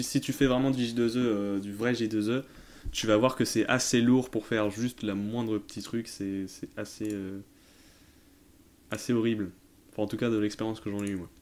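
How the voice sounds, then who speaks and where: A man hosting a tech Q&A in a casual, unscripted way, often hesitating as he thinks aloud and using informal slang. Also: fairly low pitch. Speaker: tech tutorial host answering viewer questions. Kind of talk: casual off-the-cuff explanatory commentary.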